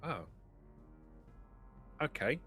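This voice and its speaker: A man voicing a businesslike trader in a tabletop game, dry, knowing and unhurried. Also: bright, forceful tone, soft background music. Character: businesslike male trader on a radio call.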